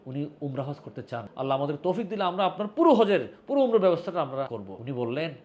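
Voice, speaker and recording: A man's voice: on the high side, big pitch swings, fast talker, a little mellow. A man with a Bangladeshi accent giving a heartfelt, solemn tribute and appeal to viewers, emphatic and repeating phrases for weight. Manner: emphatic, solemn, with rhetorical repetition. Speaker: social volunteer addressing video viewers.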